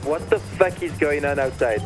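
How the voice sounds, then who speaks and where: A man's voice: on the high side, normal speed, over music. A man bantering in character while playing an online roleplay game. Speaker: fellow roleplay gamer.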